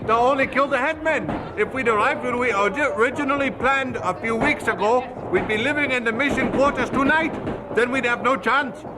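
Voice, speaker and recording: An actor playing a brave, devout evangelist husband in a radio drama, speaking earnestly amid a noisy crowd scene. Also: male voice, extremely high pitch, brisk. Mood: calm, resolute. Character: brave, devout Chinese evangelist, calm husband facing danger.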